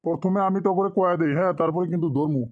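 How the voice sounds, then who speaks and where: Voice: mid-range voice, steady tone, racing, narrow, tinny audio, close to an everyday voice. A performer voicing a strict male village schoolteacher in a cartoon, instructing pupils in colloquial speech. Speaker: teacher. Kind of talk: cartoon classroom lesson, recitation.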